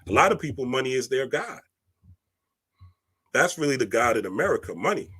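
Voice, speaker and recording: Emphatic, bright-voiced male spiritual speaker. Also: medium pitch, sing-song, brisk, breathy edge. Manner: emphatic, preachy, keeps asking "right?"; streetwise slang, swears casually. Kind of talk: casual spiritual monologue.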